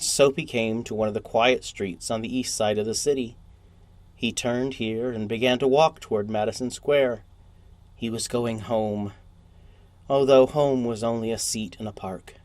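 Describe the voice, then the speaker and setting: A man gives a scripted storybook narration in a mid-pitched voice. He phrases deliberately, and the sound is dry, with very little room. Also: dry recording. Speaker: narrator, short story reader.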